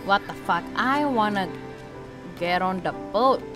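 A woman's voice: medium pitch, lively intonation, measured pace. A woman giving casual, sweary let's play commentary as a game streamer, reacting aloud in an informal way. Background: video game music.

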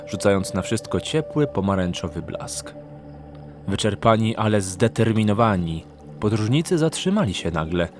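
A man narrates a fantasy audiobook from a script. His voice sits fairly low. Background music accompanies his storytelling.

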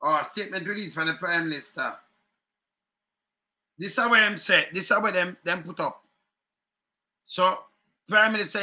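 A middle-aged Jamaican man speaks in patois straight into a webcam from a room at home. His voice sits high and has a sharp, bright edge. He talks in an animated way and circles back over the same points again and again. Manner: animated, chuckling, repeats phrases, gestures a lot. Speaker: online commentary host. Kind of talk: casual political commentary in patois. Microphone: webcam at desk.

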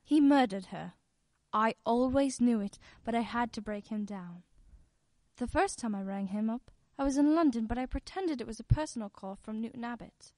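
An actress as a bereaved middle-aged mother in a radio drama, set on revenge. Her fairly high voice is firm and declarative, captured in a dry, close recording. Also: expressive, moderate pace, darkish tone, no echo, lightly acted. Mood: firm, accusing. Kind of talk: old-time radio play acting.

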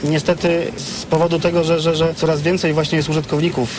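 A man's voice: on the high side, little pitch movement, brisk. A middle-aged man answering interview questions in an explanatory, opinionated, articulate way. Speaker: veteran CB radio user, interviewee. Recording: clearly noisy, vehicle sounds behind.

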